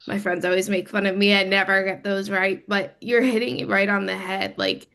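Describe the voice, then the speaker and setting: A woman with a cold, hoarse and apologetic, speaking as a participant on a group video call. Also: medium pitch, flat delivery, normal speed, fairly bright tone. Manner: apologetic, talkative.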